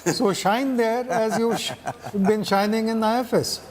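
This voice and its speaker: A man's voice: high voice, unhurried, a little hiss or hum. An older man, a former ambassador joining a live news interview, speaking in an encouraging, plain-spoken way.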